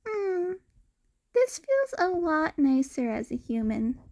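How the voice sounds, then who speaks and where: A woman's voice: high voice, big pitch swings, slow pace, darkish tone, pitched above the performer's own voice, heavily acted. A woman voicing a timid, innocent young cat-hybrid boy who speaks hesitantly with stammers and a tearful edge in an audio story. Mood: shy contentment.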